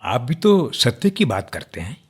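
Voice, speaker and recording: An actor in a radio play playing an earnest, outspoken nationalist man who debates firmly but respectfully, in deliberate, declamatory phrases. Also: medium pitch, very animated, husky, exaggerated voice. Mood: respectful, candid.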